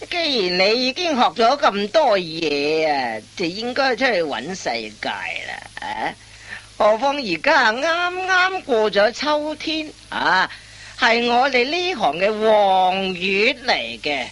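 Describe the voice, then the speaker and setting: A woman playing a wily veteran master thief in a comic radio play, instructing in drawn-out phrases full of interjections. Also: on the high side, fairly bright tone, exaggerated voice. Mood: self-satisfied, instructive.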